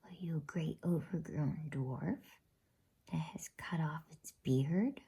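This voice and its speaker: A proud witch queen from a bedtime storybook, acted out by a woman, speaks in a breathy whisper. She is haughty and commanding, questioning coldly, with a soft, mellow tone. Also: low voice, slow, heavily acted. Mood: haughty, stern. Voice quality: whispered, husky.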